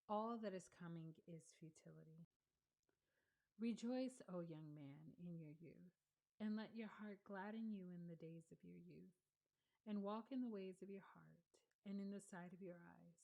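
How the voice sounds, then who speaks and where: A woman reads scripture aloud in a slightly low voice, taking each phrase slowly and deliberately. Her tone is mellow and soft-edged rather than bright. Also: gravelly. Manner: measured, deliberate reading with pauses. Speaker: scripture reader. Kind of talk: slow read-along of scripture.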